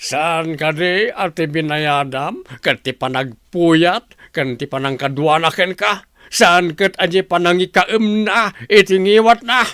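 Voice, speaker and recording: A man's voice: sits high, unhurried, a bit bright, clear, resonant tone, no room sound, clean studio sound. A man acting a stern, scolding older father in an Ilocano radio drama, with emphatic, reproachful questioning.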